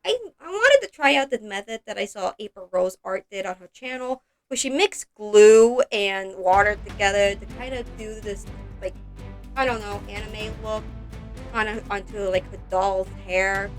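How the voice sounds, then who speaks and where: A woman's voice: medium pitch, moderate pace, faint music behind. A woman narrating a casual craft vlog in a rambling, self-deprecating and exclamatory way, as the creator of doll customization videos.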